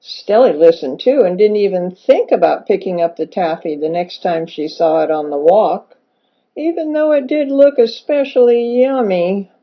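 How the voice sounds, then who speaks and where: A woman reading a children's story aloud as an expressive narrator, stretching words for emphasis. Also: mid-range voice, moderate pace. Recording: dull lo-fi recording with music behind. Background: music.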